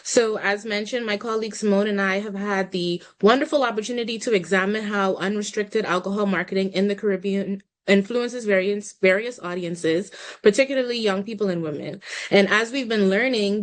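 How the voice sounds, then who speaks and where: A woman's voice: medium pitch, moderate pace. A young woman from the Bahamas presenting slides in a webinar over a video call, politely guiding the audience through a poll.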